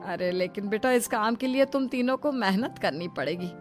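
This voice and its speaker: A woman's voice: mid-range voice, lively intonation, normal speed, faint music behind, lightly acted. A woman playing an exasperated, scheming, bossy middle-aged mother-in-law who complains, in a cartoon story. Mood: scheming, firm.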